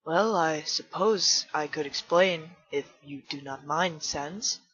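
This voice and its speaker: A woman voicing a formal, gentle adult male fire-monster bartender in a fan comic dub, speaking politely without contractions. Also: sits low, slow, husky, highs cut off, heavily acted. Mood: wistful.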